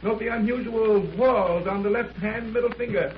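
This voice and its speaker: An actor playing a keen, confident detective with dry teasing wit, speaking briskly in 1930s radio drama style. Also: male voice, high voice, natural voice. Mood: absorbed, eager. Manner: brisk, musing, wryly condescending. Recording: muffled, noisy 1930s radio recording.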